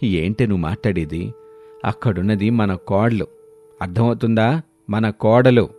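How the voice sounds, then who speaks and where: A man's voice: lowish voice, unhurried, mellow, lightly acted. A man voicing an exasperated, grumbling middle-aged husband, plaintive and henpecked, in a cartoon moral story. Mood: indignant. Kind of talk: dramatic Telugu moral story cartoon dialogue.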